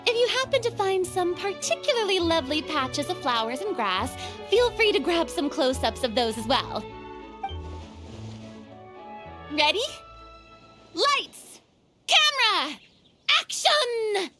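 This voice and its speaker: Squeaky, excitable young film director. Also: female voice, heavily acted. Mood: brisk, directing. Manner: commanding, theatrical, exclamatory. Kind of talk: anime-style video game voice acting.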